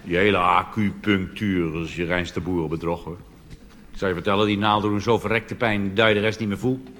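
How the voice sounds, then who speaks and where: A Dutch man doing a cabaret monologue on stage, delivering deadpan punchlines and jumping into animated put-on voices. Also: low voice, a little fast. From Netherlands. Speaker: cabaret comedian. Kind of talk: stand-up monologue. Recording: reverberant hall with audience noise.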